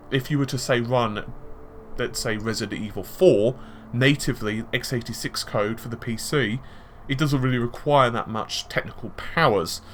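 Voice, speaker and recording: A man's voice: mid-range voice, a little hiss or hum. A man giving conversational tech and gaming commentary, explaining his points with examples and asides. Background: background music.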